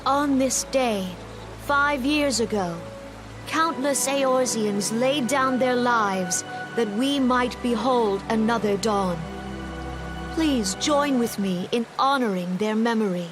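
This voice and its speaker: An actress playing a solemn, compassionate leader of a forest nation, giving a formal memorial speech in a video game with stately, measured delivery. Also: female voice, a little high. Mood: solemn, mournful. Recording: music playing behind the voice.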